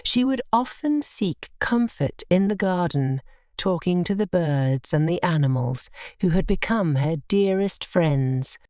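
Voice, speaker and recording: Animated, low-voiced woman storyteller. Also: measured pace, clear, resonant tone, no room sound, studio quality. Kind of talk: scripted children's bedtime story narration.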